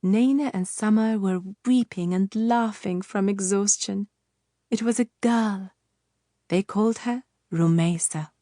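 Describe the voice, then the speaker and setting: Female fairytale audiobook narrator, unhurried and expressive, mid-range voice, dry studio sound. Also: mid-range voice, no echo. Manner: measured, expressive storytelling.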